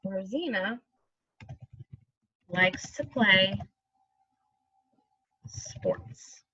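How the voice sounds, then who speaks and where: A woman's voice: mid-range voice, very slow, pushed and bright, slightly rough. A woman teaching young pupils, reading aloud and giving reassuring instructions with frequent checking questions, on a slightly muffled recording. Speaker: elementary school teacher.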